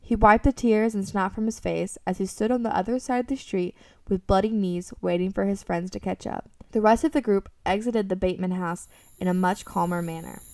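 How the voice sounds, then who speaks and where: Mellow female narrator, medium pitch, scripted radio drama story, slightly distant mic. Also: fairly quick. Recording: roomy, slightly distant microphone.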